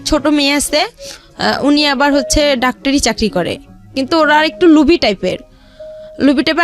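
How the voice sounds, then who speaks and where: Female, Bangladeshi accent, very high voice, rambling personal storyteller, faint music underneath. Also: fairly bright tone. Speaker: guest telling their life story.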